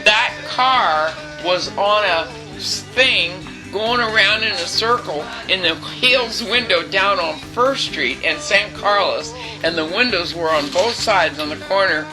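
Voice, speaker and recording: Woman in her eighties, loud, chatty storyteller, music behind. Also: medium pitch, measured pace, poor audio. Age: 80s. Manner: chatty, lively, quotes people in dialogue. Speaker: interviewee. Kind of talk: reminiscing storytelling.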